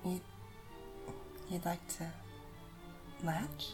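A woman whispering softly and tenderly in an intimate audio roleplay, coaxing and gentle, with music behind her. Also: on the low side, fairly even intonation, very slow.

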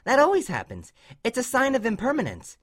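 A woman's voice: fairly high pitch, huge pitch swings, a little fast. A woman voicing an earnest, vulnerable child cartoon pony with a plaintive, childlike delivery in a dramatic story reading. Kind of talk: dramatic fan-fiction character acting.

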